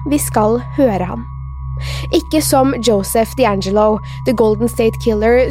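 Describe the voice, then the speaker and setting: A woman, a podcast host reading a scripted true crime narration. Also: fairly high pitch.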